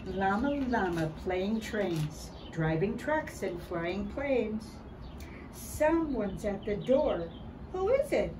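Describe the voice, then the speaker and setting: An older woman reading a children's picture book aloud in an expressive, deliberate way, dramatizing it for young children. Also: fairly high pitch. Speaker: early childhood storybook reader. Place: outdoors by a building wall and door. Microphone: camera a short distance away. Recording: distant mic, clear background noise outdoors.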